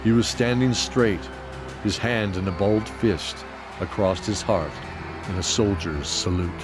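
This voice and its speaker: A man's voice: fairly low pitch, measured pace. A man reading scripted fantasy storytelling narration for an audio drama. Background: music.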